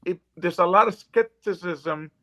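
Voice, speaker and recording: A man in his fifties from Puerto Rico with a Puerto Rican accent, talking about himself on a video call in an earnest, halting way with many fillers. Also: sits high, sharp tone, muffled recording. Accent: Puerto Rican Spanish. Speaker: guest. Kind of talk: casual video call interview.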